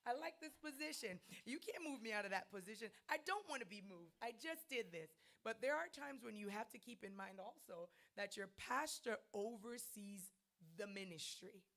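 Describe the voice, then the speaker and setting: A woman's voice: a little high, animated, normal speed, hardly any pauses, fairly bright tone. A woman with an American accent giving an emphatic, conversational leadership talk to church ministers, often checking in with "right?". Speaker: guest speaker at a ministers' training.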